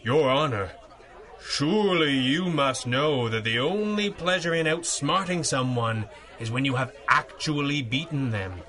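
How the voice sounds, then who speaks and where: An actor playing a confident, shrewd masked superhero, speaking formally and eloquently in a 1930s-style radio serial. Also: male voice, mid-range voice, animated, measured pace, pushed and bright, a faint crowd. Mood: impassioned, assured.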